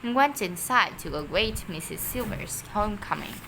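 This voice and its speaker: A woman's voice: medium pitch, expressive, normal speed, background noise. A woman reading a children's story aloud expressively, sometimes stumbling over her words. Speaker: storybook reader.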